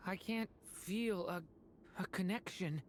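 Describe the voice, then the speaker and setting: Durin, a fragile newborn dragon. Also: female voice, lowish voice, slight background noise. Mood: weak, lost. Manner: halting, fragile and pleading. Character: lost newborn dragon, frail and confused. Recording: game soundtrack behind.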